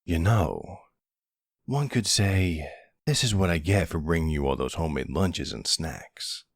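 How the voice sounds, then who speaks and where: Mafia boss love interest, played by a man for ASMR roleplay: playful swagger, lively intonation, very close dry sound. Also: deep voice, unhurried, no echo, heavily acted. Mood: mock-offended, playful. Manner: playful, teasing, smooth-talking with tough-guy swagger. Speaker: voice actor in an audio roleplay. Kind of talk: intimate ASMR boyfriend roleplay acting.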